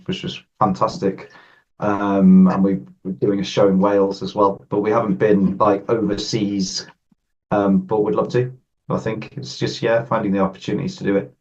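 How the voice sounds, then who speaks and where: A man's voice: on the low side, lo-fi sound. A man with an English accent giving casual interview answers as a member of a band.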